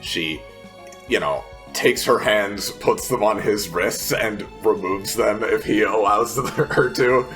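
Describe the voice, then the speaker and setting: A man's voice: fairly low pitch, slow, a bit bright. A man playing a tabletop game, describing his character's actions in improvised narration. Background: faint background music.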